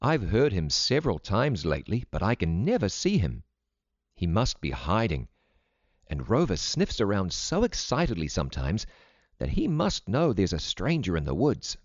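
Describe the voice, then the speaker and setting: A man voicing an earnest, excitable young girl from the country in a storybook reading. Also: deep voice, normal speed, no echo, muffled recording, exaggerated voice.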